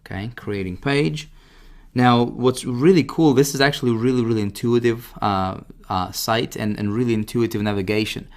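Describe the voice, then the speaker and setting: A man presenting a casual, informal software tutorial, talking directly to the listener and often checking that they follow. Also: mid-range voice, normal speed. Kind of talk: casual screen-share product walkthrough.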